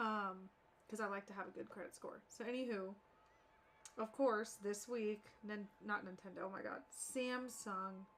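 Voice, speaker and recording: A woman's voice: medium pitch, fairly even intonation, moderate pace, fairly bright tone, a little hiss or hum. A woman chatting casually on a live game stream in an informal, rambling way. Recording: game music behind the voice. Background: video game music.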